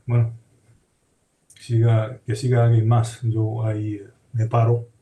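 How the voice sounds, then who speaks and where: A man speaking in a casual group discussion, halting and restarting, repeating words. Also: medium pitch, monotone, mellow, reverberant. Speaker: study group participant.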